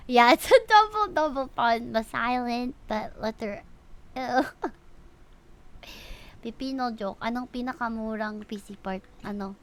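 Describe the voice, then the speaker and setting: A woman hosting a casual game livestream, chatty, giggly and quick to react as she talks with viewers. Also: fairly high pitch, lively intonation. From Philippines. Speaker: livestream host.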